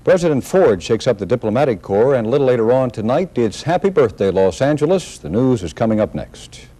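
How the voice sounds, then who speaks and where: A man's voice: medium pitch, very animated, a little fast, warm tone. A man reading the evening news as a studio anchor, taped off air in the 1970s. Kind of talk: TV news read.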